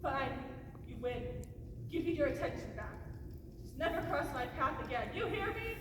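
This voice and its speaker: Woman playing a high-voiced haughty fairy villain. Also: big pitch swings, moderate pace. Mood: defeated, indignant. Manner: gloating, theatrical. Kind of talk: amateur comic puppet show. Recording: noisy echoey hall, distant mic.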